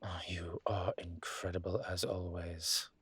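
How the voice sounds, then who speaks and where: A man playing a flirtatious, wry immortal demon professor in an intimate, conversational audio roleplay, with a low husky voice and a teasing, playful manner. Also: little pitch movement, a little slow, a bit bright, slight background noise. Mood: warm, admiring. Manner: teasing, playful, breathy asides.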